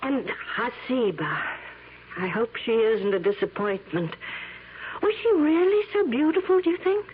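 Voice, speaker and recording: An eager, lilting, higher-voiced admirer. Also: female voice, sing-song, unhurried, breathy edge, narrow, tinny audio, heavily acted. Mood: admiring, curious. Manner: eager, wondering. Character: admiring, curious woman close to an archaeologist. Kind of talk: 1970s radio drama acting. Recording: thin, faintly noisy old radio recording.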